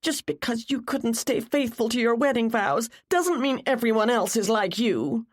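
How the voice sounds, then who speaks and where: A woman's voice: on the high side, lively intonation, moderate pace, voice pushed up. A woman voicing a distraught, tearful woman in an audiobook, sobbing and spitting out accusations. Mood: furious, anguished.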